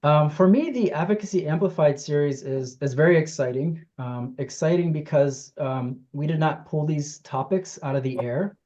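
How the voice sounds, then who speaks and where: A host informally welcoming people to an online meeting, speaking haltingly and searching for words. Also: mid-range voice, warm tone. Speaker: host, justice team director. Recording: dull, lo-fi meeting audio.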